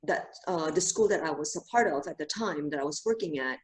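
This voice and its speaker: A woman from Indonesia, a Montessori teacher, answering interview questions over a video call, thoughtful and pausing often with fillers. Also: low voice, animated. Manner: thoughtful, many pauses and fillers.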